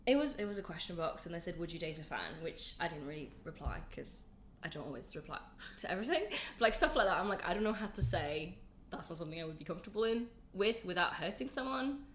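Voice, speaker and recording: A woman's voice: lowish voice, animated, quick pace, fairly bright tone, roomy sound, slight background noise. A young woman in her twenties, chatting as a podcast guest, a bit congested from a flu, rambling and self-deprecating. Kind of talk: casual chat.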